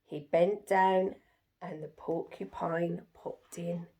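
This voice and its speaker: A woman's voice: fairly low pitch, steady tone, slow, dark tone. A woman storyteller reading a children's picture book aloud with expression.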